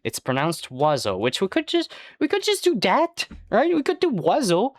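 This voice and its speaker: A native French speaker streaming casually, rambling and swearing with jokey outbursts that jump up in pitch. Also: huge pitch swings, normal speed, no room sound, studio quality. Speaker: livestreamer. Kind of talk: casual livestream chat.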